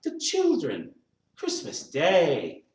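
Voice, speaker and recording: Storybook poor father, acted by a male reader: mild, earnest, excitable, raised pitch, roomy distant recording. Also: normal speed. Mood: mild pleading. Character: meek, warm-hearted, cheerful poor father. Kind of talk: dramatised storybook reading.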